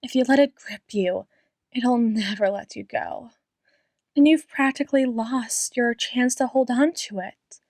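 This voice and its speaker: A woman playing an obsessive, doting female doctor in an ASMR roleplay, sweetly menacing, lingering on pauses and repeated phrases and slipping into whispers. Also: a little high, no room sound, clean studio sound. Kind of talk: ASMR roleplay, dramatic monologue addressed to listener.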